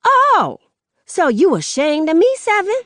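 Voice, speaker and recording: A woman voicing a loud, confrontational mother who shouts and swears in anger. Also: very high voice, huge pitch swings, measured pace, dry recording, studio quality, pitched above the performer's own voice, heavily acted. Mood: furious.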